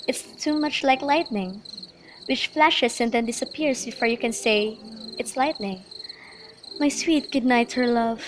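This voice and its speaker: A woman's voice: on the high side, natural voice. A woman playing an earnest, lovestruck young noblewoman in an amateur student radio drama of modernized Shakespeare. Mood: earnest, cautious. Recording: noisy home recording, crickets behind.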